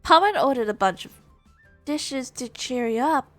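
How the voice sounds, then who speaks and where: A woman streamer reading video game dialogue and narration aloud in a measured storytelling manner. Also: sits high, animated, fairly bright tone, faint music behind.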